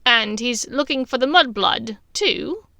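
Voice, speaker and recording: A woman voicing a middle-aged dark witch, sneering, taunting and sharp, in a storybook reading. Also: a little high, expressive, unhurried, dry recording, studio quality, exaggerated voice. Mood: sharp, prying.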